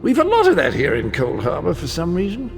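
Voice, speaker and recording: An actor playing an eccentric, cheerful adventurer in a video game, chatty and theatrical with a whimsically macabre streak. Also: male voice, high-pitched, huge pitch swings, fairly quick, creaky, over music, exaggerated voice. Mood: wry warning. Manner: jaunty, rambling, theatrical delivery. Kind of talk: video game character acting.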